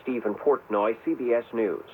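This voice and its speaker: A man's voice: mid-range voice, moderate pace. Male news correspondent, tinny AM sound. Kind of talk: scripted political report. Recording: tinny, faintly noisy AM radio.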